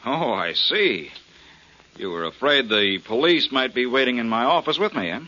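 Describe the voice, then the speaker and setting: A man's voice: mid-range voice, lively intonation, sharp tone. A man playing a shrewd doctor who poses as an insinuating blackmailer in a 1940s radio crime drama. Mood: sly, insinuating. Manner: insinuating, deliberate bargaining. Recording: dull lo-fi 1940s radio recording.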